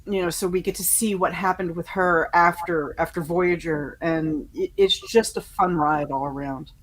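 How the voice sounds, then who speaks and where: A woman chatting casually as a podcast panelist, giving fan opinions in a halting, conversational way. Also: lowish voice, steady tone, rough recording.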